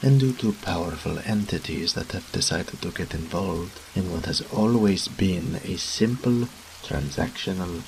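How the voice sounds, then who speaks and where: A man's voice: sits low, vocal fry, slight background noise, low-quality recording. A man voicing a smug, seductive demon incubus in a roleplay audio drama, with a teasing, haughty, theatrical drawl.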